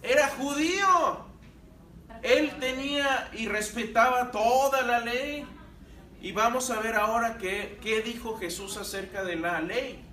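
A man's voice: very high-pitched, takes it slowly, pushed and bright, reverberant, recorded from a distance. A man from Mexico teaching a Bible class, talking to the room and putting questions to his students. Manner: conversational, asks the class questions. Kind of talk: church class lecture.

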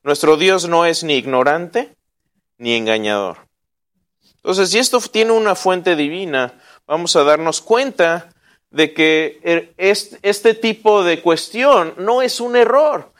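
A high-pitched man teaches the Bible in a relaxed class. He jokes and asks his listeners questions. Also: takes it slowly. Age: 40s. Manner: joking and chatty, asks the audience questions.

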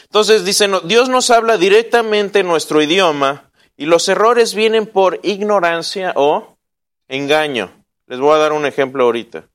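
A man's voice: sits high, normal speed, fairly bright tone. A man, teaching a casual Bible class, joking and quizzing his listeners. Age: 40s. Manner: joking and chatty, asks the audience questions.

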